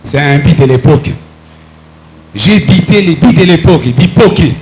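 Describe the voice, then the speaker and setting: A man's voice: on the high side, lively intonation, normal speed, a little mellow, low-quality recording. A man with a Congolese French accent reminiscing cheerfully as a radio show contestant. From Bukavu, DR Congo.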